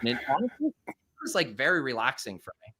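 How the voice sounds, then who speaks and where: A man's voice: mid-range voice, huge pitch swings, sharp tone, slightly rough. A man with a nasal voice hosting a live stream, chatting casually and thinking aloud while he drafts fantasy football players. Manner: rapid, chatty thinking aloud with many fillers.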